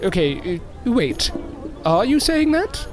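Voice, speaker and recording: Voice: medium pitch, very animated, slow, a faint crowd, slight background noise. A performer playing a proud but polite circus newcomer in an audio drama, with an earnest, formal and sometimes hesitant manner. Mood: defensive.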